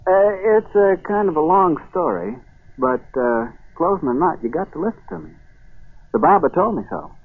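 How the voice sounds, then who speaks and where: A bashful but stubborn farmer in a vintage radio play. His husky voice rises and dips widely as he rambles hesitantly in a folksy way. The broadcast sounds narrow and muffled. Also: on the high side, expressive, normal speed. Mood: bashful, insistent. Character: shy, stubborn, naive New England farmer. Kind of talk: 1940s radio acting.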